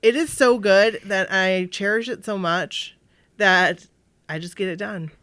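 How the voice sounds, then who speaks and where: A woman's voice: medium pitch, unhurried, fairly bright tone, clear, resonant tone, dry recording. Sniffly woman co-host chatting on a podcast. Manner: chatty, anxious storyteller, lots of "like" fillers. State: sniffly, slightly congested. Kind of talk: casual chat. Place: indoor room, downstairs, air-conditioned. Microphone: studio microphone.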